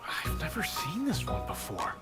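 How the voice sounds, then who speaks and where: An actor playing a proud but self-doubting tinkerer in a video game, hesitant and easily flustered. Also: male voice, on the high side, wildly swooping pitch, moderate pace, loud music behind the voice. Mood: muttering, concentrating. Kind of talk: video game character acting.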